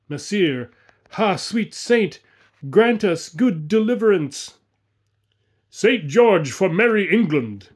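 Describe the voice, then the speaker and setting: A man voicing ghostly medieval archers who shout war cries to their patron saint. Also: very high-pitched, pitched above the performer's own voice, exaggerated voice.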